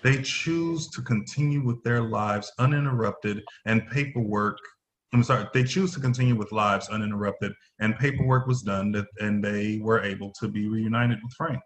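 A man playing a stern, formal middle-aged company executive in a play reading, halting and stumbling over his phrases. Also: on the low side, natural voice. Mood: formal, explaining. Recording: roomy echoing voice.

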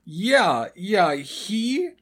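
A woman's voice: mid-range voice, very animated, very slow, husky. A woman co-hosting a podcast with wry, amused commentary and casual banter. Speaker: podcast co-host.

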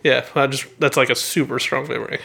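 A man's voice: mid-range voice, steady tone, fast talker, vocal fry, pushed and bright, breathy edge. A man co-hosting a podcast in casual, dryly teasing banter. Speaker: podcast co-host. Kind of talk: casual comedic chat.

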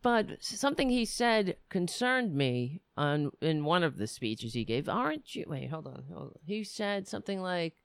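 A man with a raspy voice hosting a political commentary show, reading articles aloud and breaking into heated, sarcastic insults. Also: high voice, big pitch swings, moderate pace, few pauses, dry recording. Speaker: podcast host.

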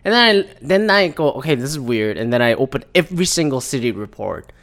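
A man hosting a solo podcast, talking casually and loosely with lots of fillers, restarts and cursing, his voice a little rough from an itchy throat. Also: mid-range voice, very animated, fairly quick, fairly bright tone. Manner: rambling, loose and profane, with frequent fillers and restarts. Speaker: podcast host.

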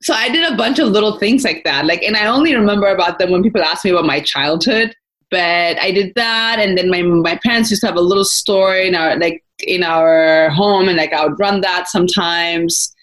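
A woman's voice: lowish voice, fairly quick, a bit bright. A young adult woman from Ethiopia, a company founder, talking casually as an interview guest, thoughtful and full of fillers and restarts.

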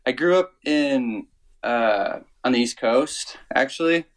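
A man in his twenties from Canada, a musician guest talking casually in an interview, low-key and hesitant, with many fillers and restarts. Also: takes it slowly. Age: 20s.

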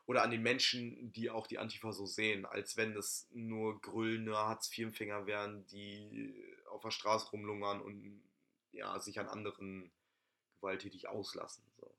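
A young man co-hosts a casual podcast. His voice is low, gravelly and bright-edged. He talks in slang and stops and restarts often. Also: completely flat delivery. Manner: slangy, halting, full of fillers. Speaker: podcast co-host. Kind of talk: casual chat.

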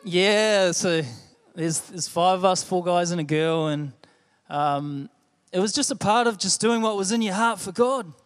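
A young man with a New Zealand accent preaches a sermon in a high, light voice. He talks earnestly and conversationally, often doubling back to repeat his words. The sound carries a long echo from a church hall. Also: a little slow. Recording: echoey hall.

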